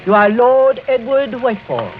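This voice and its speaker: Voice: medium pitch, sing-song, normal speed, soft background music, natural voice. A performer playing a deferential French housekeeper with a French accent in a 1940s radio mystery, formal and careful. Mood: formal, polite. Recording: muffled 1940s radio recording.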